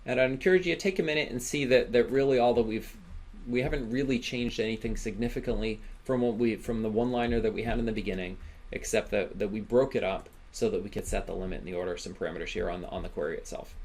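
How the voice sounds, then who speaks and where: A man giving an informal coding tutorial as an instructor, speaking haltingly with repeated words and frequent check-in questions. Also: on the low side, steady tone, darkish tone. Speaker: programming instructor.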